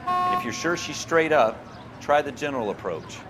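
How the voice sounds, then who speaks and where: An actor playing a brash, streetwise police partner in a video game, with a blunt, brisk way of talking. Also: male voice, on the high side, wildly swooping pitch, noisy background. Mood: advising. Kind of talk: dramatic video game acting.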